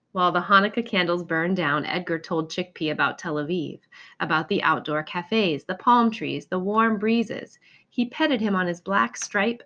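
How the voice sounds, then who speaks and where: A woman reading a children's picture book aloud in an expressive storytelling manner. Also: lowish voice. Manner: expressive, acts out exclamations and dialogue. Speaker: storybook reader.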